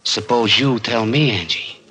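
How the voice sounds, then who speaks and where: A man's voice: mid-range voice, unhurried, bright, forceful tone, breathy edge, heavily acted. An actor playing a bitter small-time gunman in a 1940s radio crime drama, with an American accent and streetwise, hard-boiled slang. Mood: bitter accusing. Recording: vintage 1940s radio recording, music behind.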